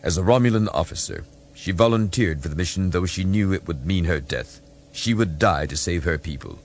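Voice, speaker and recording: A man voicing a proud, defiant alien military commander in an audiobook, with grating, forceful, formal speech. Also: sits low, expressive, brisk, slight background noise. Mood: bitter pride. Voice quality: grating, gravelly. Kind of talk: audiobook character voice.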